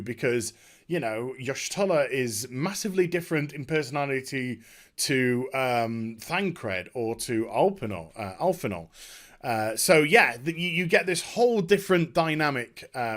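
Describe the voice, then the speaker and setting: A man hosting a gaming video, giving a casual, unscripted recap in a halting, digressive way and often correcting himself. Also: medium pitch, fairly bright tone.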